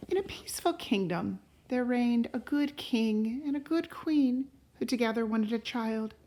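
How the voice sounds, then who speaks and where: A woman reading a storybook aloud to a class as a teacher, in a deliberate, expressive way. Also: on the high side, a little hiss or hum. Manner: deliberate and expressive, pausing to question listeners.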